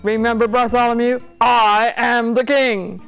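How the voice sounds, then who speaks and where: A woman's voice: fairly high pitch, fairly even intonation, measured pace, clean tone, soft background music, voice pushed up. A woman voicing an irate, bossy old king in a storybook, blustering and imperious. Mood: imperious rage.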